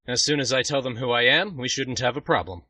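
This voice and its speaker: A man's voice: mid-range voice, fast talker, bright, forceful tone, no echo, studio quality. A man playing a proud young prince and commander, speaking formally and firmly in a fan audio drama. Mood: matter-of-fact.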